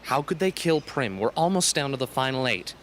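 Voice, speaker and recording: Guy acting patient reassuring teen boy, radio drama, average pitch, bit of background noise. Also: sing-song, a little fast, fairly bright tone. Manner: patient, persuasive. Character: earnest, gentle, reassuring teenage boy in danger.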